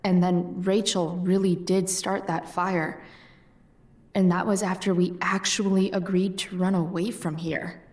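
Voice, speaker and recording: A woman's voice: lowish voice, level tone, measured pace, a little mellow, close to an everyday voice. Distant, wry teenage girl's inner voice by a woman. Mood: wry, disbelieving. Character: wry teenage girl thinking to herself. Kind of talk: inner monologue in a video game.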